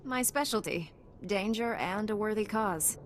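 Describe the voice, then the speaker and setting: Actress as a low, composed Jedi woman. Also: slight background noise, lightly acted. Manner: composed, measured. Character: calm, noble, self-assured female Jedi warrior. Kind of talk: video game voice acting.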